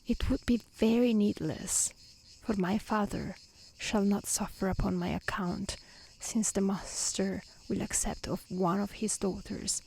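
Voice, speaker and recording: A woman voicing a brave, selfless young daughter, gentle but firm, in a soft and earnest storybook reading. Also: mid-range voice, steady tone, a little slow, creaky, husky, poor audio. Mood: calm resolve.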